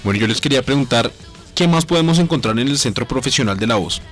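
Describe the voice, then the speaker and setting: A man co-hosts a radio show in casual chat. His pitch is in the middle range for a man. Faint music runs behind his voice. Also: lively intonation, quick pace. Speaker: radio co-host. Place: radio station booth.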